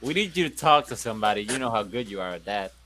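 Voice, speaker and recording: A man's voice: fairly low pitch, animated, quick pace, sharp tone, a little hiss or hum. A man playing a tabletop roleplaying game, switching between in-character talk and bossy, teasing banter.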